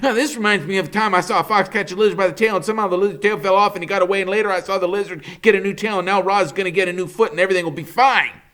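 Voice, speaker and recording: Man doing a rapid, high-pitched chattering squirrel. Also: a bit bright. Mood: excited, chattering. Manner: breathless run-on chatter. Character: chattering, excitable squirrel storyteller, small and talkative.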